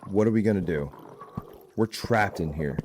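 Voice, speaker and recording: A man's voice: low-pitched, moderate pace, natural voice. An actor playing an uneasy, trapped man in an audio drama. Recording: clearly noisy background.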